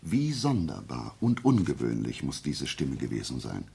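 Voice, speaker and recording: A brilliant detective reasons aloud in a very deep, creaky voice. His delivery is deliberate and animated, with emphatic pauses and a self-assured, pedantic air. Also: full character voice. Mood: methodical reasoning. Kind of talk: German radio play acting.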